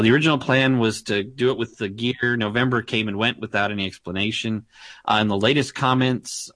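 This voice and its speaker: A man's voice: mid-range voice, a bit bright, no room sound. A man hosting a casual tech news chat, rambling with fillers and laughing often. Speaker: podcast host.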